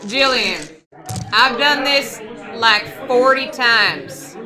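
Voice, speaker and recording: Man playing slow, sarcastic space veteran Salem. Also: very high voice, lively intonation, over music, exaggerated voice. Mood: exasperated. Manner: sarcastic, drawn-out. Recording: crowd noise behind.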